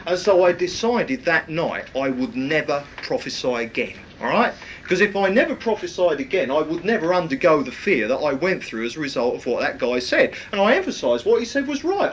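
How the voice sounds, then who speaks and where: A man with a loud, projecting voice, preaching a rambling, anecdotal sermon with many fillers. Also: a little high, very animated, a little fast, highs cut off, slight background noise.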